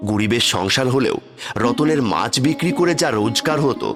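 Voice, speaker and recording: A man's voice: medium pitch, animated, normal speed, over music. A man narrating a scripted cartoon folk story.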